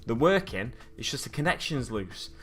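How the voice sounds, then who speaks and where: A man's voice: mid-range voice, sing-song, normal speed, fairly bright tone, slightly rough. A man with a slightly scratchy voice, rambling through stories and chatting with viewers as he hosts a livestream from his hobby desk at home. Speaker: streamer host. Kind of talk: casual livestream chat while painting miniatures. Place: home hobby desk with a glass cabinet behind.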